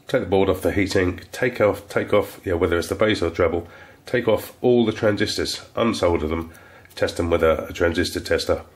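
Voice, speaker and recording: Deep-voiced man with a stuffy cold. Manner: relaxed, rambling, restarts phrases. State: congested from a cold. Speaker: electronics repair technician. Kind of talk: casual workshop tutorial. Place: electronics workbench, indoors.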